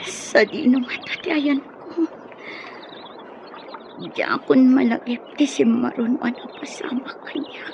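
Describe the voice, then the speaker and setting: A woman's voice: sits high, fairly even intonation, takes it slowly, gravelly, long pauses, a little mellow, exaggerated voice. An actress with a Filipino accent playing a desperate, wounded young mother on the run in a radio drama, crying and gasping. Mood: weak, pleading. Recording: dull lo-fi radio drama, noisy effects.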